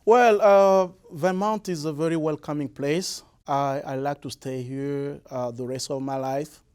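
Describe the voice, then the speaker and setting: Man from the Congo, halting. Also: on the high side, fairly even intonation, darkish tone, smooth. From Republic of the Congo. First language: Lari. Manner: hesitant, many fillers and restarts. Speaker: musician guest. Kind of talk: interview answers. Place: radio or TV studio.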